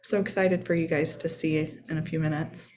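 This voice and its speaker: A woman's voice: sits low, fairly even intonation, normal speed, a little mellow. A woman teacher and mentor giving a short self-introduction.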